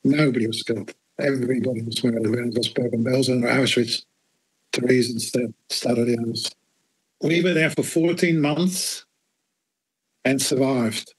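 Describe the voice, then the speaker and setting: Older Australian-accented man, husky mid-pitched voice, blunt and steady testimony. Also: rough recording. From Netherlands. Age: 80s. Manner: measured and blunt, stresses words with firm repetition. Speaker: interviewee, Holocaust survivor. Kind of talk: personal testimony in an interview.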